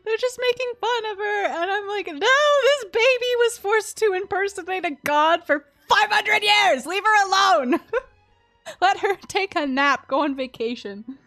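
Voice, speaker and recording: A woman's voice: very high voice, a bit bright. A woman streaming a game with casual, chatty, giggly and playfully dramatic commentary.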